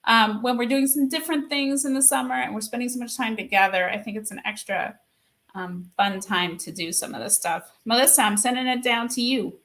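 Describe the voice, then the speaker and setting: A woman's voice: a little high, a little fast, fairly bright tone. A middle-aged woman speaking casually as a panelist, sharing parenting tips and acting out bits of dialogue conversationally.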